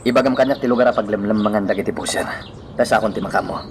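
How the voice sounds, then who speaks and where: Ex-soldier friend, played by a man: deepish, questioning, sincere, Ilocano radio drama, slight noise behind. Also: racing, close to an everyday voice. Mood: earnest concern.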